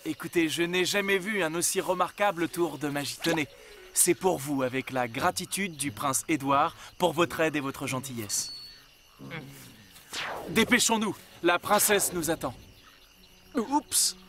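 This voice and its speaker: High-voiced young cartoon prince. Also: male voice, measured pace, fairly bright tone. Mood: courteous. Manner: courtly, polite, flustered. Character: young, well-mannered, rather haughty prince. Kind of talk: French cartoon dubbing.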